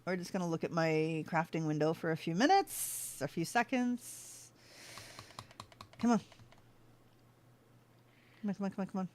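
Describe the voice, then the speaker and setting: A woman's voice: lowish voice, normal speed, pauses often, a little mellow. A woman streaming a game, chatting casually with her viewers, easily sidetracked and laughing often. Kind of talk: casual livestream chat while playing.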